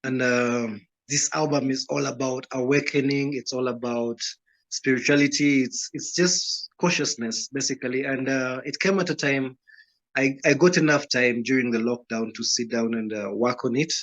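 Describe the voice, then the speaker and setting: A man, a guest musician answering interview questions in a calm, measured, unhurried way. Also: medium pitch. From Uganda, Rwandan roots. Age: 30s. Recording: dull lo-fi sound.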